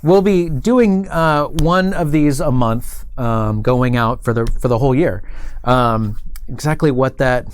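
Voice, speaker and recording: A middle-aged man hosts a studio talk show. His voice sits at an average pitch. He talks in a chatty, informal way. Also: unhurried, clean tone, no room sound. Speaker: host. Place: indoor studio set with couch and table.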